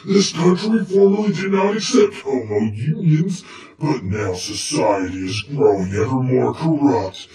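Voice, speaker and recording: A man putting on a male demon's voice, lower than his own, booming out of a cavernous echo. The demon sounds far from the mic and preaches with heavy condemnation. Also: lively intonation, measured pace, mellow. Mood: condemning. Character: deep echoing demon condemning sin, male. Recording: heavily echoed, distant voice.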